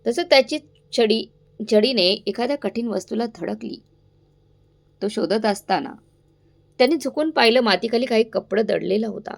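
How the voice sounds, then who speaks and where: A woman's voice: mid-range voice, vocal fry, darkish tone. A woman narrating a scripted story in a dramatic, suspenseful way, addressing listeners directly.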